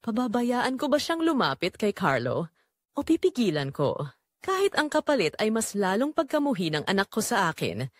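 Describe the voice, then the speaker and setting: A woman acts out a remorseful Filipina mother in a radio-style audio drama. Her mid-pitched voice rises and falls dramatically, anguished and confessional, in a close, dry recording. The accent is Filipino and the delivery is solemn storytelling. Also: big pitch swings, normal speed, dry recording. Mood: torn, fearful. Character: guilt-ridden Filipina mother and wife confessing her betrayal. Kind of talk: dramatic first-person audio drama narration.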